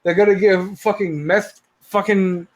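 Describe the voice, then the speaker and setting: A man in his late thirties hosts a casual live stream, swearing constantly as he rants. His voice sits a little higher than most men's. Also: level tone, a little slow. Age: 30s. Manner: profane, heated rant. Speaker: podcast host.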